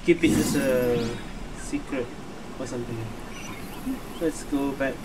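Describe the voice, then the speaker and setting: A man giving casual gameplay commentary, thinking aloud in a halting way. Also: mid-range voice, heavy background noise. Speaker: gamer, video creator. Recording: loud game music behind.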